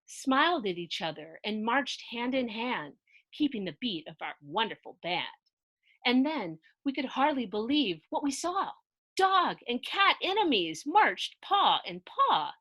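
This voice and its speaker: A woman reading a children's picture book aloud in an expressive, theatrical, sing-song way with emphatic rhyming delivery. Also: fairly high pitch, dry recording, poor audio. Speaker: storybook narrator reading aloud.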